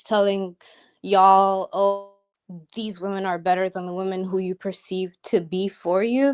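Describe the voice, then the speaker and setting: A young Kenyan woman calling in to a chat show, talking casually with many ums and emphatic asides. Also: medium pitch. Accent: Kenyan, sounding American to listeners. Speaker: caller.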